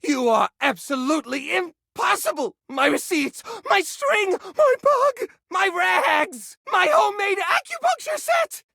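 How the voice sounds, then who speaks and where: Fussy, sentimental junk-drawer hoarder for a dating game: theatrical, highly animated delivery, creaky edges, neurotic temper. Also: male voice, unhurried, hardly any pauses, no echo, clean studio sound, full character voice. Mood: anguished, furious. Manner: fussy, theatrical, swinging into outbursts. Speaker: voice actor in a dating sim game. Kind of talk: animated video game character acting.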